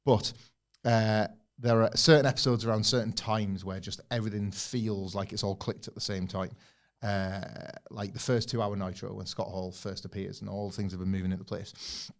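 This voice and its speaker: A man's voice: lowish voice, steady tone, moderate pace. A man co-hosting a wrestling podcast, talking enthusiastically in long rambling takes. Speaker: podcast co-host. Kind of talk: casual podcast chat.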